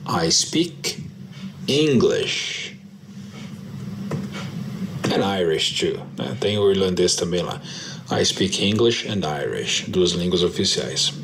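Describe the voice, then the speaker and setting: A man with a Brazilian Portuguese accent teaching English, modelling short answers slowly and repeating them for practice. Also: low-pitched, noisy background. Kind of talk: slow drill practice lesson.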